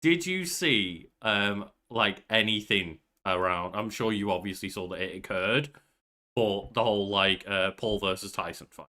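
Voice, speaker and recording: A man's voice: on the low side, a little slow, pushed and bright. A young man co-hosting a podcast in casual chat, with banter and some swearing. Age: young adult. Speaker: podcast co-host. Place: small bedroom at home.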